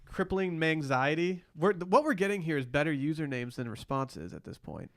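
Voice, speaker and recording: A man with a fairly high voice talks as a podcast co-host. He is informal, blunt and slangy, recapping reality TV in casual back-and-forth. The sound is very dry, with no room echo. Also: animated, normal speed, fairly bright tone.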